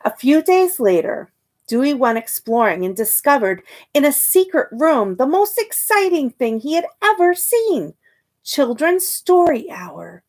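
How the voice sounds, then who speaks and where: A woman reading a children's picture book aloud expressively, pausing to ask her young listeners questions and point things out. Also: sits high, big pitch swings, darkish tone. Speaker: storytime reader and teacher.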